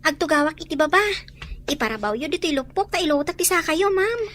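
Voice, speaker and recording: A woman's voice: high voice, lively intonation, fast talker, fairly bright tone. A woman playing a meek, polite, mistreated young house girl in an Ilocano audio drama.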